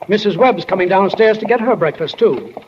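An actor playing a wry, plainspoken small-town narrator in a 1940s radio drama. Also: male voice, high voice, lively intonation, fairly quick, very noisy. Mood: folksy, wry. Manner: plainspoken, drawling folksy wording. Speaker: stage manager narrator. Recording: muffled old 1940 radio broadcast.

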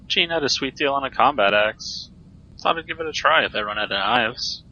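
A man acting a sharp, casual fighter. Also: mid-range voice, lively intonation, moderate pace, highs cut off. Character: casual male fighter on a mercenary team. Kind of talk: audio drama acting.

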